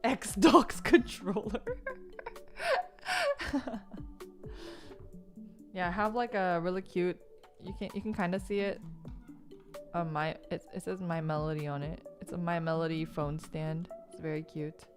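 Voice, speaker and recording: A woman's voice: lowish voice, measured pace, long pauses, faint music behind. Giggly rambling woman streamer. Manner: rambling, giggly, lists things with many ums. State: stuffed up after a missed sneeze. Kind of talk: casual stream chat while building a model kit. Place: home gaming room with sound panels.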